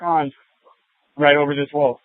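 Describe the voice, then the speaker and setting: Male officer, urgent clipped commands, somewhat raised pitch, dry scanner radio. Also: flat delivery, unhurried, no room sound. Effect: police radio. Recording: phone-like police scanner radio audio.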